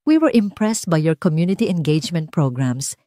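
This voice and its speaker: A woman's voice: low-pitched, very animated, moderate pace, dark tone, no room sound. A businesslike female foundation representative from an audiobook, acted by a woman narrator. She speaks formally, and the recording is very dry. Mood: formal, polite.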